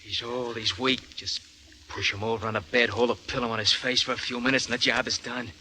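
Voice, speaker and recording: A brutal, scheming son talks in a menacing, bullying way, with a hard, very bright tone. Faint hiss sits under his voice. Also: medium pitch, level tone, brisk, low-quality recording. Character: brutal, scheming young male murderer son. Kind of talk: 1940s radio acting.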